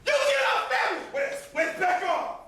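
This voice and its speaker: Male actor as agitated, enraged young avenger in a stage drama; forceful, animated delivery caught from far off in a boomy room. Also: unhurried, heavy reverb, some chatter behind, slight background noise, full character voice. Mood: alarmed, angry. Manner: shouting, confrontational, agitated. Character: enraged young avenger confronting his family's killer. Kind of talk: amateur stage play acting. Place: indoor stage performance, recorded from a distance.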